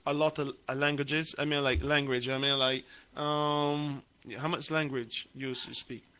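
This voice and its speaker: Radio host from St Kitts and Nevis, bright forceful tone, halting and chatty. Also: male voice, fairly high pitch, little pitch movement, smooth. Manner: halting questions full of "um", frequent "yeah, man". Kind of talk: radio interview, casual.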